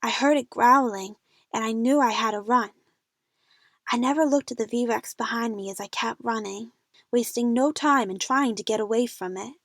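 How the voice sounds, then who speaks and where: A woman's voice: a little high, normal speed, a bit bright, dry recording. A woman playing a frightened young female adventure fan, narrating her own jungle ordeal in a scripted audio drama. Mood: anxious.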